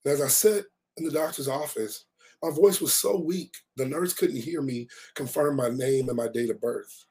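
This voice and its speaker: A middle-aged man who hosts a motivational podcast, hoarse and raspy from a recent cold, reading his own reflective blog with emphatic repetition. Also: mid-range voice, moderate pace, a little mellow. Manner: emphatic, repeats key phrases for effect. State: hoarse, recovering from losing his voice. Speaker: podcast host and life coach.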